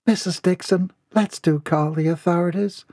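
A man's voice: sits high, fairly even intonation, normal speed, mellow, no room sound, studio quality, pitched above the performer's own voice. A man voicing a fretful, timid, motherly woman cook in a storybook. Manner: fretful, soothing.

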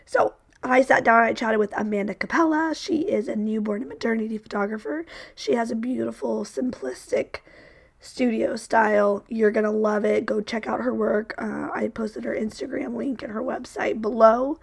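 A woman podcast host who is losing her voice, speaking raspy and hoarse in a casual introduction, laughing lightly at it. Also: a little high, steady tone, normal speed.

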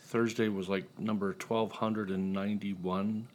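A man's voice: fairly low pitch, level tone, measured pace, slight background noise. A middle-aged man with an American accent, talking casually as a guest columnist in an interview. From Indiana, United States.

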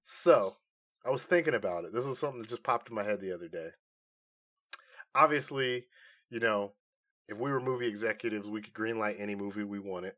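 A man's voice: lowish voice, fairly quick, long pauses, fairly bright tone. A man co-hosting a podcast in a casual, chatty review style. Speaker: podcast co-host. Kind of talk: casual banter reviewing movies and shows.

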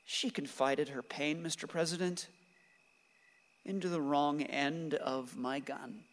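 Bitter grieving husband in a reverberant hall. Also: male voice, fairly high pitch, steady tone, slow pace. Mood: sorrowful, bitter. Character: grieving, bitter, guilt-ridden husband and father who clings to guns. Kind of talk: stage-like radio play acting.

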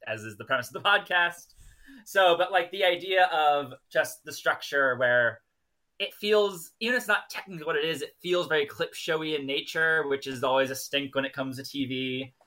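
A man's voice: medium pitch, bright, forceful tone. A man co-hosting a podcast, recapping and chatting casually with wry asides. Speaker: podcast co-host. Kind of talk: casual chat and episode recap.

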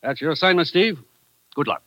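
An actor playing a brisk, authoritative commissioner, clipped and commanding, in old-time radio drama style. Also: male voice, fairly high pitch, lively intonation, highs cut off, heavy background noise, natural voice. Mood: urgent, commanding. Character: brisk, authoritative commissioner handing out dangerous assignments.

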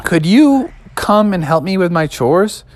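A man's voice: sits high, big pitch swings, a little slow, no echo. A man voicing a young, eager talk-show frog who talks earnestly and pleads with his friends. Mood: worried.

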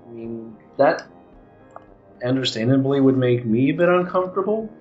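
A man's voice: mid-range voice, expressive, normal speed. A man playing a tabletop game, chatting casually with a wry, discursive manner. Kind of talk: casual group chat. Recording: roomy muffled audio, music bed. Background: music.

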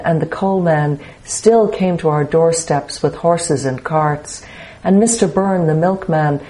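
An older woman from Dublin with a softened Irish accent, reading her memoir and then talking it over in a measured, thoughtful way. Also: lowish voice, poor audio. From Ireland, Dublin. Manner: measured, thoughtful, lets a sentence trail and restart. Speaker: author and interview guest.

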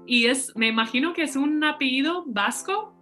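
Bilingual woman podcast host, higher voice. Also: lively intonation, normal speed, pushed and bright, soft background music. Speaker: podcast host, former basketball player. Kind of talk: scripted intro then casual interview.

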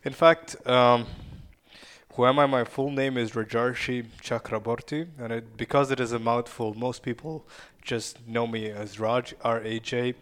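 A man's voice: mid-range voice, fairly even intonation, a little slow, fairly bright tone. A man from Ukraine, a podcast guest, telling stories in a chatty way and laughing often. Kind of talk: casual podcast chat.

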